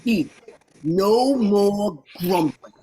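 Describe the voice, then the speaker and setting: A woman's voice: mid-range voice, expressive, drawn-out words, mellow. A woman playing a grumbling, slangy old sprig of Christmas holly in a radio play, wisecracking and drawling. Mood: softened.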